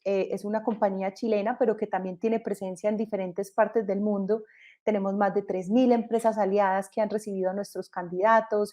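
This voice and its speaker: Mellow-voiced Colombian woman presenting. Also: medium pitch. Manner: explanatory, with frequent hesitations and restarts. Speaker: company co-founder and panel speaker. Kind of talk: webinar presentation. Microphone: video call.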